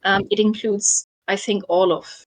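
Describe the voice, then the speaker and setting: A young adult woman casually telling a history story, with frequent ums and soft laughs. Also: medium pitch, level tone, measured pace, rough recording. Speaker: guest storyteller.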